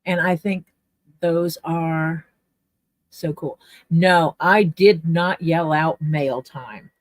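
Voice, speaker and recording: A woman's voice: low-pitched, steady tone, drawn-out words, no echo. A woman chatting casually on a livestream while she works on leather, explaining her tools step by step. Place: home workspace.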